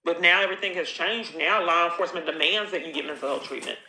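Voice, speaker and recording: A woman's voice: on the low side, fast, bright, forceful tone. A woman talking in an insistent, accusatory way, confronting someone on a call and running into long, repetitive monologues. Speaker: caller recording her own phone call. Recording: echoey room.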